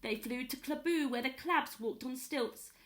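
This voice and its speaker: A woman reading a children's story aloud in an expressive storytelling style. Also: high voice. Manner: pauses to ask young listeners questions. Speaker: storyteller at a primary school.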